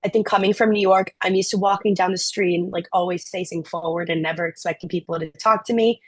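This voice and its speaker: A young woman from Brazil talks through her answers in a loose, chatty way, scattering "like" and "um" as she goes. Her voice sits a little low. The sound is dry and close, with almost no room around it. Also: fairly even intonation, fast, no room sound. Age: young adult. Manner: chatty, frequent "like" and "um" fillers. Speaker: program alum, student. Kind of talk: casual Q&A answers.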